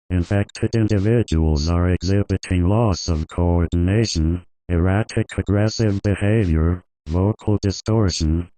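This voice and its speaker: A man delivers a scripted emergency alert in a deep voice. His delivery is formal, flat and authoritative, with a subdued, dark tone. The sound is very dry, with no room to it. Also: no room sound, rough recording. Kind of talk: scripted emergency broadcast narration.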